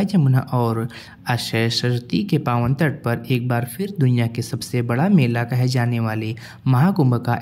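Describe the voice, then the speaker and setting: A man hosting his own channel, reading a formal local news update as a voice-over in a steady tone. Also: medium pitch. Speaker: YouTube channel host.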